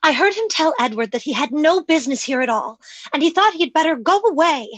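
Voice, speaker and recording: An actress playing a nervous, timid younger sister in an old-time radio gothic drama, fretful and hesitant. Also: female voice, high voice, expressive, a little fast, a bit bright, no room sound. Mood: hesitant, secretive.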